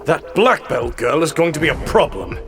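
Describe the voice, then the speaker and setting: Anime parody spy, played by a man: suave, sarcastic schemer, lively intonation, music underneath. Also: fairly high pitch, moderate pace. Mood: grim, scheming. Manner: dry, sarcastic. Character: suave adult male spy husband, scheming, dryly sarcastic.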